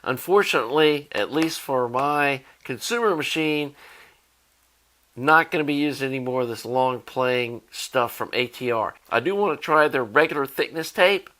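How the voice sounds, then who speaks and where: A man's voice: medium pitch, expressive, moderate pace, bright, forceful tone. American man casually explaining things. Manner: informal, rambling, thinking aloud. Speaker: audio hobbyist video host. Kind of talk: casual explanatory product talk.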